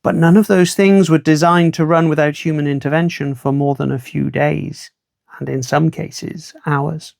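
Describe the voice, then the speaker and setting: Creaky storyteller reading aloud. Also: mid-range voice, fairly even intonation, normal speed, a little mellow, no room sound, clean studio sound. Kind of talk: read-aloud children's storytelling.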